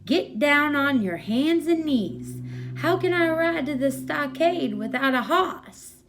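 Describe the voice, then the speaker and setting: A woman's voice: sits high, expressive, slow, background noise, voice pushed up, exaggerated voice. A woman voicing a bossy, playful young boy playing scout, with demanding, folksy speech in a storybook reading.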